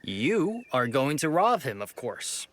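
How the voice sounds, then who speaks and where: An actor playing a brash, flirtatious outlaw who fights for the poor, in video game cutscene style. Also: male voice, mid-range voice, very animated, slow pace. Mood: insistent. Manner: brash, teasing, foul-mouthed. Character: cocky roguish male outlaw, flirtatious, righteous toward the poor. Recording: music behind the voice. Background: music.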